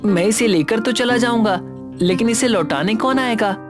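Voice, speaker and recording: A woman voicing a sensible, friendly young child in an animated children's story. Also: a little high, moderate pace, music in the background, close to an everyday voice. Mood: curious.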